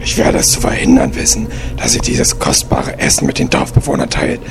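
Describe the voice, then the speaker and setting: A greedy, menacing villain king, speaks in an extremely deep, creaky voice. The slow audio-drama delivery swings widely in pitch, with loud music behind it. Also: big pitch swings, heavily acted. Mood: gloating menace. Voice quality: gravelly, creaky. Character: greedy villain king hoarding a Christmas feast.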